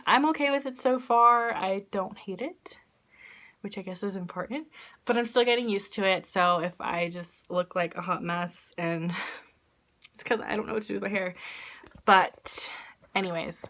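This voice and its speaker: Young woman vlogger, bright tone, congested nose, chatty self-deprecating ramble, phone held close in a small room. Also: medium pitch, unhurried, pauses often. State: stuffy nose from allergies. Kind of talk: casual chatty vlog. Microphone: phone camera at arm's length.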